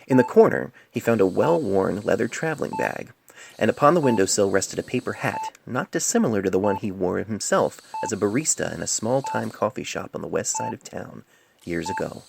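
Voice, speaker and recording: A man reading a scripted story as an amateur narrator, measured and literary, recorded on a cell phone microphone. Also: lowish voice, sing-song, gravelly, noisy background.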